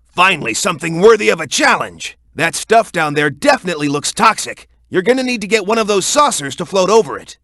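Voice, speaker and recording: A bold young echidna brawler for a video game. The voice sits high and swings widely in pitch, recorded very dry. He is earnest and hot-headed, pushing every line with punchy urgency. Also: expressive, brisk, bright, forceful tone, dry recording, exaggerated voice. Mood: determined. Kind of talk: English video game character voice acting.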